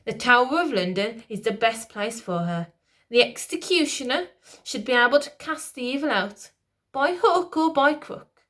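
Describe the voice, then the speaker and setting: A woman's voice: on the high side, animated, moderate pace, fairly bright tone, pitched above the performer's own voice. A smarmy, scheming male courtier from a storybook, read by a woman in her usual voice. He talks in a pompous, falsely soothing way, with a slightly raised tone. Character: smooth scheming male Lord Protector, older courtier.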